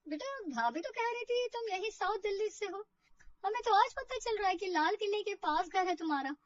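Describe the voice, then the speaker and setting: Woman voicing a very high-pitched kindly aunt. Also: animated, moderate pace, no room sound, recorded from a distance, highs cut off. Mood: kindly, reassuring. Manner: chatty, reassuring. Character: kindly, easygoing middle-aged aunt.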